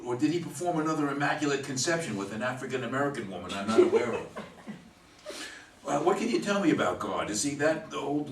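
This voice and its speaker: Man acting sarcastic hungover fifties guy, swooping pitch. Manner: wisecracking, halting, muttering. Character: sarcastic hungover man in his fifties, strapped to a hospital bed. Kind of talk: stage play reading. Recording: roomy, distant mic, faint noise.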